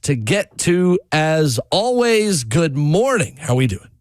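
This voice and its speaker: A man's voice: on the high side, sing-song, slow pace, no echo, clean studio sound. An upbeat young man co-hosting a sports radio show, chatting casually. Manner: upbeat, fluent with fillers. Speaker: co-host.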